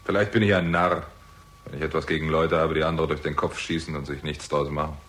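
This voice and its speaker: A man's voice: rumbling low, little pitch movement, a little fast, a bit bright. An actor playing a weary, bitter theatrical agent who narrates his own story in a terse, sardonic way in a 1960s German crime radio drama. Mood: bitter, accusing.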